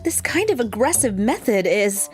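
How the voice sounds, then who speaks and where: A woman playing a calm, perceptive teacher. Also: mid-range voice, moderate pace, soft background music, natural voice. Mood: composed. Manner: composed and firm.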